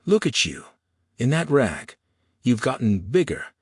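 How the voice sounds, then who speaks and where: A man's voice: mid-range voice, very animated, a little slow, slightly rough, no echo, clean studio sound, voice pushed up, heavily acted. A man voicing a sneering, pompous, cowardly shopkeeper in an Old West audiobook, indignant and flustered. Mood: condescending, greedy.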